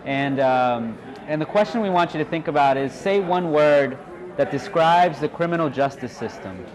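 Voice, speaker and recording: A man's voice: fairly high pitch, animated, normal speed. A man facilitating a workshop, informally giving instructions to a group, recorded live in a room. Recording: live room with audience chatter.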